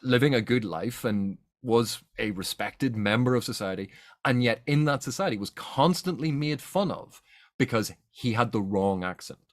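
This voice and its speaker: A man's voice: medium pitch, lively intonation, moderate pace, dry recording, clean studio sound. A man from Northern Ireland with a Northern Irish accent, hosting a book discussion in an explanatory, emphatic way. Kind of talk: animated literary discussion.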